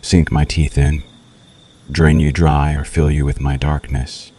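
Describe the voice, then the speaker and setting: A man playing a seductive, menacing male vampire in an ASMR roleplay, speaking deliberately and broodingly with teasing, dramatic pauses. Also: a bass voice, level tone, warm tone. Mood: ominous, tempting. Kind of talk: slow ASMR roleplay monologue.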